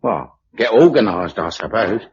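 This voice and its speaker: A man's voice: on the low side, animated, moderate pace, darkish tone, breathy edge, highs cut off, full character voice. An actor playing a cheerful, chatty working-class henchman with a Cockney accent in a radio drama. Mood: chatty, amused.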